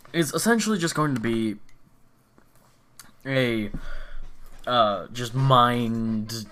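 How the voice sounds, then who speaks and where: A man's voice: medium pitch, fairly bright tone. A young man rambling casually at his computer about gaming, swearing often and full of fillers and restarts. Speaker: gaming vlogger.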